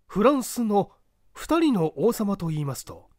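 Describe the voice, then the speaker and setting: A man's voice: high voice, very animated, unhurried, a little mellow, dry recording, studio quality, voice pushed up. A man voicing an inquisitive, polite castle guest in an audiobook, formal and eagerly questioning. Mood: curious, polite.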